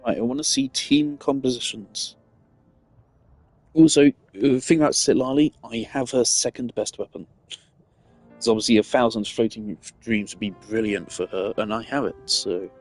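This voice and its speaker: A man's voice: medium pitch, fairly even intonation, normal speed, darkish tone, soft background music, low-quality recording. A man giving casual, analytical gaming commentary, thinking aloud in a rambling way as a streamer.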